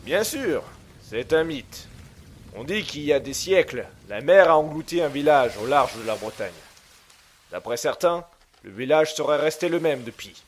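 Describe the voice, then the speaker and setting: Male actor as ship's captain, fairly high voice, firm, authoritative, sceptical, faint ambient noise, audio drama. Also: animated, moderate pace, lightly acted. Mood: dismissive. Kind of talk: French audio drama acting.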